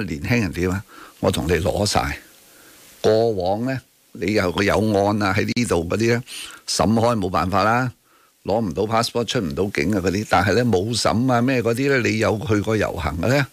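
Deep, gravelly Hong Kong Cantonese radio host. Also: male voice, animated, a little fast, no echo. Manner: rhetorical, emphatic, peppering speech with tag questions and English words. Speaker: radio talk show host. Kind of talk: opinionated current affairs talk. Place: radio studio.

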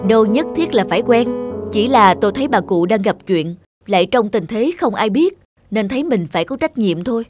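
A man playing a kind, reassuring young shopkeeper in cartoon dialogue, with a calm, modest manner. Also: high voice, a little fast, soft background music.

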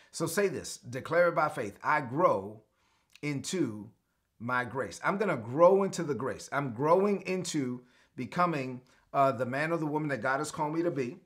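Earnest middle-aged preacher man, declaring. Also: mid-range voice. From Brooklyn, New York. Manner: earnest, declarative, repeats phrases for the audience. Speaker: pastor. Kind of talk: live-streamed daily sermon. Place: home studio with lit shelves.

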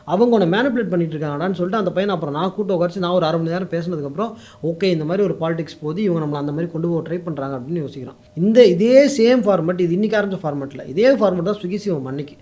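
Fast, high-voiced Tamil Nadu man. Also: mellow. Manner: code-mixes English words into speech. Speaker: interview guest, political YouTube commentator. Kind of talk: opinionated interview talk.

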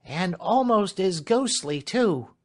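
A man voicing a warm-hearted, excitable serving woman in an audiobook, with a plain, artless, emphatic way of talking. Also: sits high, takes it slowly, voice pushed up. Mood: anxious, devoted.

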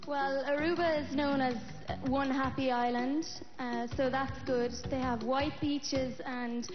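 A young woman answering a pageant interview on stage in a composed, even tone. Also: high voice, a little slow. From Ireland. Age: young adult. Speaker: pageant contestant. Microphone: handheld held by host. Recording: muffled broadcast with long reverb and music.

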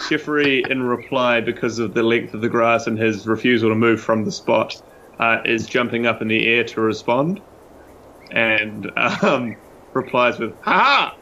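A man playing a tabletop game, improvising his character's actions in a halting, thinking-aloud way. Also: mid-range voice, steady tone, pushed and bright, muffled recording, slight background noise. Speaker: player in tabletop game podcast. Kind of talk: improvised narration of own character.

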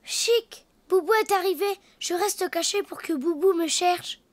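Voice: very high voice, unhurried, no room sound. A performer voicing a cheerful, eager little boy donkey with childlike, simple phrasing in a French preschool cartoon. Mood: cheerful, playful.

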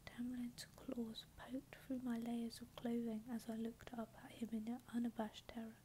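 A woman reading a novel aloud in a soft, unvoiced ASMR whisper. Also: fairly high pitch, monotone, measured pace, slight background noise. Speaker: narrator.

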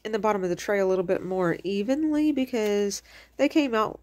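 A woman's voice: medium pitch, animated, a little fast, darkish tone. A middle-aged woman with a slightly stuffy, congested voice, chatting casually through a home craft tutorial with lots of fillers. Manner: rambling and chatty, many fillers. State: stuffy from allergies. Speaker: crafting channel host. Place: home kitchen.

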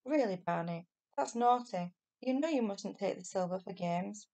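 A woman voicing a brisk, fond but scolding grandmother in a children's storybook reading. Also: mid-range voice, expressive, dark tone, close to an everyday voice. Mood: exclaiming, scolding.

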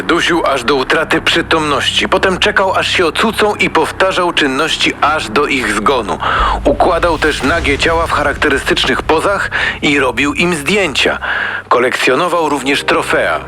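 A man's voice: a little high, lively intonation, moderate pace, bright, forceful tone, faint music behind. An actor playing a stern male prosecutor, speaking formally and accusingly in an audio drama. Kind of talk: acted courtroom speech in audio drama.

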